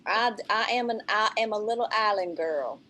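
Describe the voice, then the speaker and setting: A woman's voice: medium pitch, normal speed, bright, forceful tone. A woman riffing playfully in an improvised comedy chat, often laughing at her own absurd lines. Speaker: guest.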